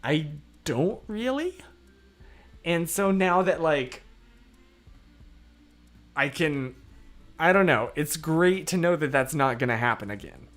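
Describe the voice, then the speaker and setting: A hoarse young man hosting a livestream, chatting casually and rambling off topic with easy laughs. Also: a little high, lively intonation, takes it slowly, long pauses, fairly bright tone, faint music behind. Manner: rambling, loses his train of thought; laughs easily. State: hoarse, voice worn out. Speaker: livestream host. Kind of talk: casual livestream chat, answering comments.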